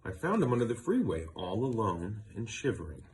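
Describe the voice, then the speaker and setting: A man voicing a caring woman who rescues stray puppies in a storybook, with gentle delivery. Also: on the low side, big pitch swings, brisk, slight background noise. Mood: sympathetic, concerned.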